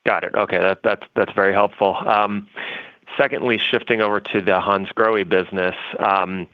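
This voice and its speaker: A man's voice: on the low side, steady tone, normal speed, no echo. A man speaking as a financial analyst, putting wordy, hedged, conversational questions on an earnings call. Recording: dry, dull phone-call audio.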